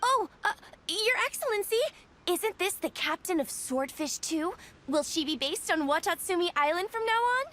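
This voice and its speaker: An inquisitive villager girl, very high, sharp tone. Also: lively intonation, normal speed, slight background noise, heavily acted. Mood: eager. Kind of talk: video game voice acting.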